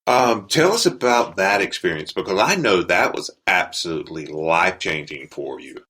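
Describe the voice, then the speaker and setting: A man's voice: low-pitched, lively intonation, normal speed, pushed and bright, slightly rough. A man hosting a podcast interview in a casual, conversational style.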